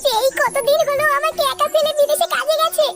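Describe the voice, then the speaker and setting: Cartoon flirt, woman performing: village sister-in-law, piercingly high pitch, brisk pace, coy and suggestive. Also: steady tone, over music. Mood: flirtatious. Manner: coaxing, suggestive. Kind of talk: comic cartoon dialogue.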